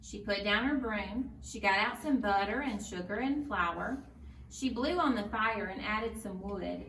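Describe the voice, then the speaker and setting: A woman's voice: medium pitch, unhurried, a bit bright. A woman reading a children's story aloud as a storyteller, in scripted narration. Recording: roomy, distant mic, faint noise.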